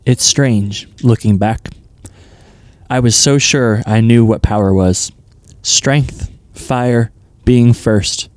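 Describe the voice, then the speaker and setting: A man's voice: medium pitch, slow pace, no room sound, natural voice. A man playing a once hot-headed, now humbled fisherman disciple in an audio drama, reflective and earnest.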